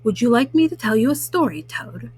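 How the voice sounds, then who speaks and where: A woman's voice: on the high side, animated, brisk, a little mellow, slightly rough. A woman voicing a friendly, curious male frog from a storybook, speaking simply and earnestly. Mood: kindly.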